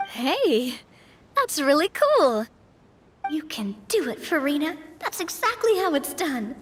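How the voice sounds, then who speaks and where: A woman's voice: high-pitched, big pitch swings, takes it slowly, a little hiss or hum, heavily acted. A woman playing a confident, friendly young monster hunter and businesswoman in video game voice acting. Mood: encouraging. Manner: friendly and outgoing, encouraging.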